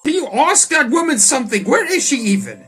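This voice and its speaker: A man doing let's play commentary on a visual novel, reading the text aloud and reacting to it excitably. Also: very high-pitched, fairly quick. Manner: excitable, reacts in sudden loud outbursts. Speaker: streamer playing a visual novel. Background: soft game music.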